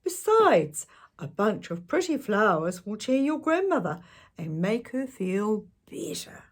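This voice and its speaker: A woman voicing a sly, smooth-talking male wolf in a storybook read-aloud, coaxing and falsely sweet. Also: medium pitch, big pitch swings, a little slow, voice pushed up.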